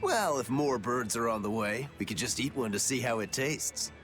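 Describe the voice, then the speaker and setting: A man's voice: medium pitch, moderate pace, music in the background, natural voice. A man playing an easygoing, joking adventurer in an anime-style video game, breezy, casual and teasing.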